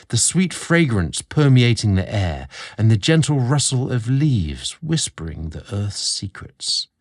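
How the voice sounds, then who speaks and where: Expressive low-voiced male story narrator. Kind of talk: scripted romantic story narration.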